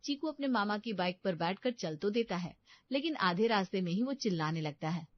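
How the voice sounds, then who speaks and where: A woman's voice: medium pitch, expressive, fairly quick, no room sound, highs cut off. A woman narrating a scripted story as its storyteller.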